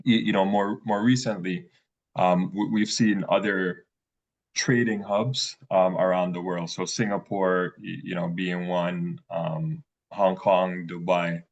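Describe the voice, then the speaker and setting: A man hosting an interview who asks questions haltingly, with many stammers and fillers. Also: deep voice, level tone, gravelly, highs cut off. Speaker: interviewer, host.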